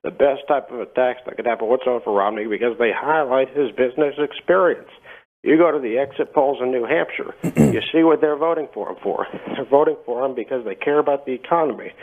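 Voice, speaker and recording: A man calling in to a live TV political program, giving comments in an earnest, deadpan way. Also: mid-range voice, fast, close to an everyday voice. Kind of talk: prank call posing as earnest political comment. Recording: muffled narrow telephone line.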